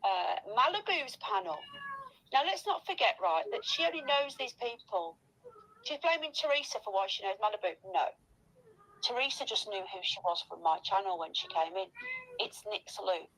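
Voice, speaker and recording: A woman's voice: medium pitch, expressive, moderate pace, fairly bright tone, rough recording. A woman chatting casually in a gossipy, emphatic and insistent way.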